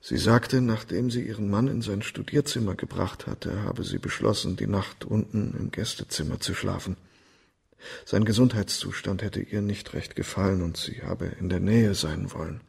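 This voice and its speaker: Accused doctor, male, radio crime drama. Humble, defensive, formal, with a raspy, fry-edged voice. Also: lowish voice, fairly even intonation, normal speed, a little mellow, exaggerated voice. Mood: humble, nervous.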